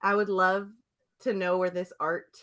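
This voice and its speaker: A woman's voice: lowish voice, level tone, a little slow, fairly bright tone. A young woman hosting a podcast, telling anecdotes in casual chat and laughing often. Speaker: podcast host.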